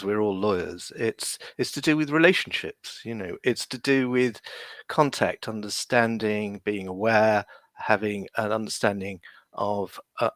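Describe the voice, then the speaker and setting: A man's voice: mid-range voice, fairly bright tone, no room sound. A man, a lawyer and podcast guest, speaking on a video call from London in a reflective, hesitant way with many fillers. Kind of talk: reflective interview answers.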